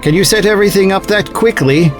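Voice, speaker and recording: Man playing a troubled space officer, higher-pitched, over music. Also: a little fast, lightly acted. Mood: respectful. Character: troubled, conscientious male space-fleet officer, dutiful. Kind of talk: sci-fi audio drama acting.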